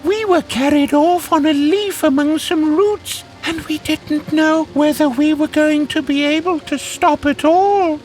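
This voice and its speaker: Timid lil cartoon mouse, really high squeaky pitch, earnest, music behind. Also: male voice, a little slow, hardly any pauses, rough recording. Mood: excited, reminiscing. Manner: soft, muttering, earnest. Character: shy little mouse, timid but eager storyteller.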